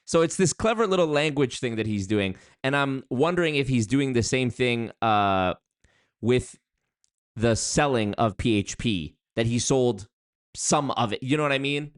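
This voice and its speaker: A man's voice: mid-range voice, lively intonation, moderate pace, smooth, dry recording, studio quality. A man giving casual live-stream commentary on a video, wry and chatty, with restarts and asides.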